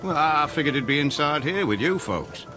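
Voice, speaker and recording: A man's voice: fairly high pitch, lively intonation, brisk, over music, close to an everyday voice. An actor playing a neighborly old island mailman in a radio drama, puzzled, hesitant and folksy.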